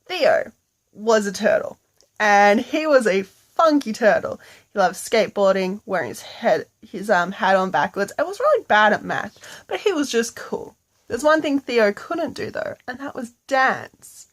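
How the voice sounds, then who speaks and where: A woman's voice: mid-range voice, big pitch swings, a little slow. A woman telling an improvised bedtime story in a casual, playful, slangy way.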